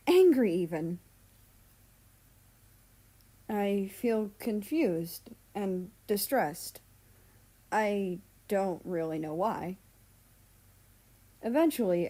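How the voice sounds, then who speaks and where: Unhurried woman reading a story aloud. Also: mid-range voice, warm tone. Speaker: story reader. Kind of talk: expressive read-aloud narration of fan fiction.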